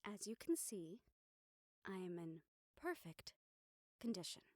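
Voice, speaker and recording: A woman performs a composed young noblewoman in an intimate audio drama. Her phrasing is formal and measured, delivered gently with deliberate pauses. The voice sits at a medium pitch, close on the mic in a very dry space. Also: sing-song, dry recording. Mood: reassuring, dryly exasperated. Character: composed young noblewoman soothing her worried dragon bodyguard. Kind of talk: intimate audio roleplay acting.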